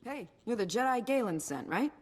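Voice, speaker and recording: An actress playing the blunt, harried mayor of a desert outpost in a video game, plainspoken and wry. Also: female voice, on the high side, lively intonation, normal speed, soft background music, natural voice.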